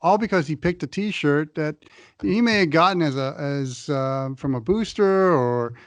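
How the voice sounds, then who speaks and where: A man's voice: fairly high pitch, unhurried, no room sound. Wry man with a dry, close sound. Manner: wry, chatty, hesitant. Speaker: podcast co-host. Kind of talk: casual sports talk.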